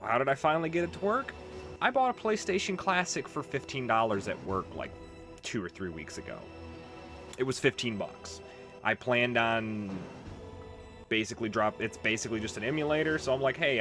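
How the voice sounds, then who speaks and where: A man's voice: on the low side, very animated, moderate pace. A man hosting a gaming video, giving casual, chatty let's play commentary with conversational asides. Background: game music.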